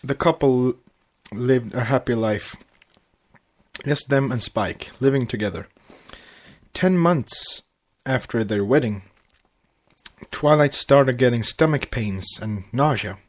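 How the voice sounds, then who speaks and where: A man co-hosting a podcast, chatting casually and narrating a story in a halting way with stumbles. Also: mid-range voice. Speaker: podcast co-host and fan fiction reader.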